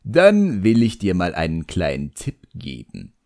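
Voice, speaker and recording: A man voicing a cocky, talkative djinn in an audiobook, mocking and smooth-talking. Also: low voice, huge pitch swings, a little slow, darkish tone, full character voice. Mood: flattering. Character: cocky, sarcastic, chatty ancient djinn, teasing and flattering.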